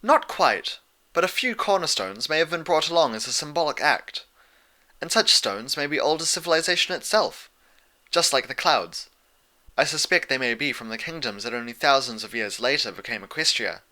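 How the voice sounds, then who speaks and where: A wise, motherly pony princess, put on by a male reader in a fan storybook. The delivery is measured and patient, in a raised, very crisp bright voice. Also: on the high side, expressive, pitched above the performer's own voice. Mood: measured, lecturing. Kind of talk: fan fiction audiobook character voice.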